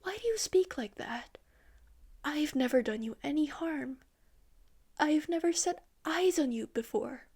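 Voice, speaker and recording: A woman's voice: high-pitched, animated, a little slow, darkish tone, pitched above the performer's own voice. Woman reading as a frightened timid man. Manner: stammering, pleading. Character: frightened timid male writer.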